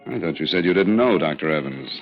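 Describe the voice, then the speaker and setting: A man's voice: low-pitched, animated, fast. An actor playing a hard-boiled male insurance investigator who narrates his case, in a dry, matter-of-fact, wry manner. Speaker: narrator and lead character. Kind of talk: 1950s radio drama acting. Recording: dull lo-fi old radio broadcast with faint music.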